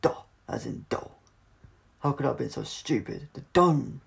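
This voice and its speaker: A man's voice: medium pitch, expressive, normal speed, gravelly, voice pushed up. A man playing a brainy, eccentric male adventurer in an audiobook, exclaiming with enthusiasm. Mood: excited realisation.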